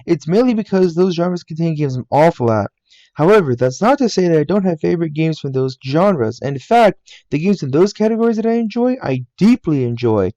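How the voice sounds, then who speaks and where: A young American man narrates his own gaming channel in a matter-of-fact, earnest way, his voice a little higher than most men's yet warm and mellow. It is recorded close on a laptop mic in a room at home, so it comes through dry and somewhat muffled. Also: expressive, fairly quick, no echo. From United States. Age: 20s. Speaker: YouTuber. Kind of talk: scripted voice-over narration. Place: game room in a family home.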